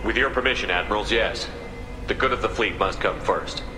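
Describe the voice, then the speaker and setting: An actor playing a calm, firm male starship captain in a game cutscene. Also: on the low side, brisk, close to an everyday voice. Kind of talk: video game cutscene dialogue. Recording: echoey game mix with music.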